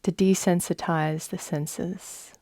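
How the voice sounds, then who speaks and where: A woman guides a meditation at a very slow pace, leaving long pauses between phrases. Her voice is soft, mellow and fairly low. The sound is close and dry, and her delivery is soothing throughout. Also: steady tone, gravelly, no room sound. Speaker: yoga nidra guide.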